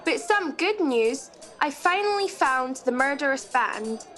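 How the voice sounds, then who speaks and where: A woman's voice: high-pitched, lively intonation, unhurried, a bit bright, faint music behind. A woman playing an earnest battlefield reporter in a mock live news report for a radio comedy.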